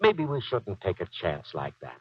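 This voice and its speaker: A boastful, henpecked old Marine sergeant for a 1940s radio play. His talk is folksy and slangy, with very lively swings of pitch. The sound is dull and dry, like an old broadcast. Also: moderate pace, husky, no echo, heavily acted. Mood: sheepish.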